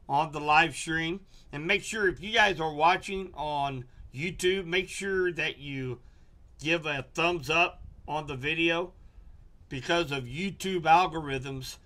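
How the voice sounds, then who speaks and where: A middle-aged man gives a live weather briefing, his voice hoarse and wearing thin. He talks slowly and explains each chart step by step, in a fairly high, bright, forceful tone. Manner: slow, explanatory, walks viewers through charts. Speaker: local weather forecaster.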